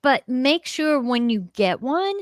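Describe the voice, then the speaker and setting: Casual, salty-tongued American woman, higher voice. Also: lively intonation, slow, no echo, studio quality. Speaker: co-host of a paranormal show. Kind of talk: casual, unscripted chat.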